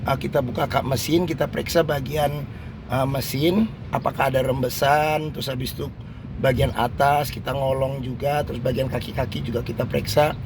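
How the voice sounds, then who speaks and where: A hoarse man hosting a used-car walkaround review, talking slowly with many fillers as he moves around and inside a car at a showroom. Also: medium pitch, steady tone, background noise. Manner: slow, halting delivery with many fillers. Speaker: used-car dealer host.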